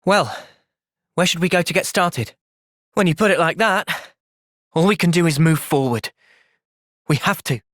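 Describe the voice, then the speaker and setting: An actor playing an earnest, thoughtful young male hero in a fantasy video game, speaking sincerely and clearly. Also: fairly high pitch, expressive, moderate pace, long pauses, a bit bright, dry recording, studio quality. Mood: calm, measured. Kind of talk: video game cutscene voice acting.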